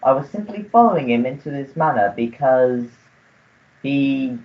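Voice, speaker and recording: A polite, self-serving manservant, acted by a woman. The voice is low with wide movement in pitch, formal and deferential. It is radio melodrama on a slightly muffled recording. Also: expressive, moderate pace. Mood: evasive, dry. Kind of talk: melodramatic radio play acting.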